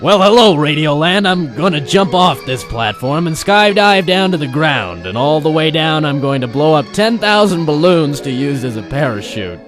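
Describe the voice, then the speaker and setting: Man playing a bold, high-voiced radio daredevil. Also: very animated, normal speed, hardly any pauses, fairly bright tone, faint music behind. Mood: cocky. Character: bold, foolhardy male daredevil. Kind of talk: comic old-time radio skit acting.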